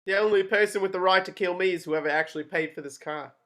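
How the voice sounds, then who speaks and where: A woman reading the part of a cocky young working-class lad, with a flat, offhand delivery, in an amateur table read over a video call. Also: lowish voice, quick pace, crowd noise, natural voice. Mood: defiant.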